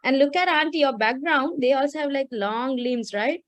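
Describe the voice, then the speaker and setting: A woman on a video call casually explaining animals to children, a little halting and checking in as she goes. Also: high voice, a bit bright, no room sound. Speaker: guest explainer on wetland animals. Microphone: video call microphone.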